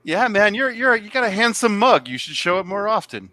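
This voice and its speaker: A man's voice: high-pitched, very animated, brisk, crowd noise. A man in a casual livestream chat, teasing his friends with dry jokes.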